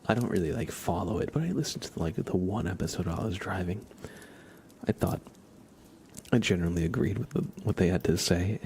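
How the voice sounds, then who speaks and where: A man hosting an ASMR livestream, chatting softly and casually in a hushed, unhurried way that often slips into whispering. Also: low voice, gravelly, slight background noise. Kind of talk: soft ASMR livestream chat.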